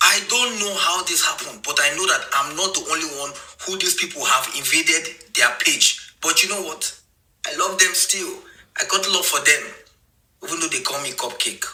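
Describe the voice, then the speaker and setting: A man, a social media creator, talking to camera in an animated, teasing, exclaiming way. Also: fairly high pitch, moderate pace, breathy edge, rough recording. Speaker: TikTok creator. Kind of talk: casual talking-to-camera video.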